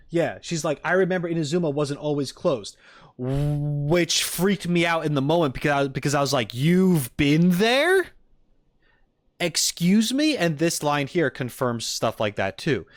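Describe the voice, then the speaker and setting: Man, medium pitch, casual streamer, rambling, emphatic quoting, close dry mic. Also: no echo. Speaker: streamer reacting to game videos. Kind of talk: casual chat with viewers, theorizing.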